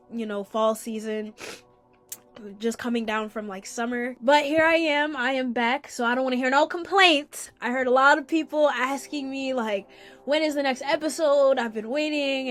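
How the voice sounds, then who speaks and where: A young woman hosting a candid storytelling podcast, sounding congested and chatting casually with occasional swearing. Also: on the high side. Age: young adult. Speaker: podcast host.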